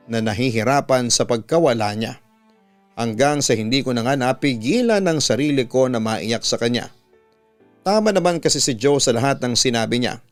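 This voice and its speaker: A man with a Filipino accent reading listener letters as a scripted story narration and giving advice as a radio host. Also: mid-range voice. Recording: dull audio with music behind. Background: music bed.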